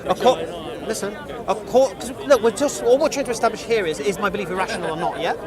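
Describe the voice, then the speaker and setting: Man, forties, from England; pushy street preacher, high and rapid, crowd noise behind. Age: 40s. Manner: insistent, repeats himself, leading questions. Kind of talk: street debate.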